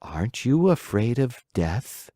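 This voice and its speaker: A storyteller voicing a patient, motherly fairy who coaxes gently but firmly. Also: medium pitch, very animated, slow, no room sound, studio quality. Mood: coaxing. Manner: gentle, coaxing and firm.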